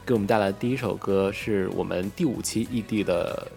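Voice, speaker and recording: A man's voice: fairly low pitch, brisk, vocal fry, a little mellow, faint music behind. A man casually hosting a live fan event and introducing guests. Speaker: host of a live audio drama fan event.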